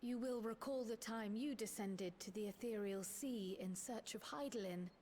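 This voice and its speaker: A woman's voice: on the high side, moderate pace, a little mellow, a little hiss or hum, close to an everyday voice. A woman voicing a polite, determined young scholar and magic user in a video game, speaking in a formal, articulate, earnest way. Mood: earnest, resolute. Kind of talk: video game cutscene acting.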